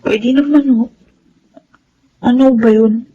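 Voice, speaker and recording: A woman's voice: on the high side, steady tone, slow, dark tone, lo-fi sound, a little hiss or hum. A woman playing a bitter, surly young housemaid and abandoned daughter in a Filipino melodrama, curt and accusing. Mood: curt, defensive. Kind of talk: Filipino radio-style melodrama acting.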